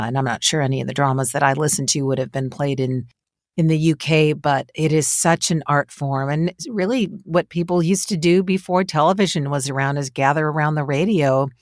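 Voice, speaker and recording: A woman's voice: a bass voice, no echo, clean studio sound. A woman hosting a casual podcast interview in a conversational, complimentary way.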